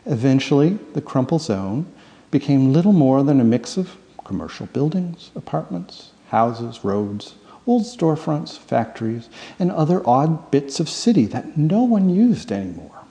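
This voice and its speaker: A man narrates fiction like an audiobook, with a soft-edged, mellow tone and plenty of gravelly creak. His storytelling is dramatic and expressive. The voice rings with long reverb, as if in a hall. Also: a little high, measured pace. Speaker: author reading own novel.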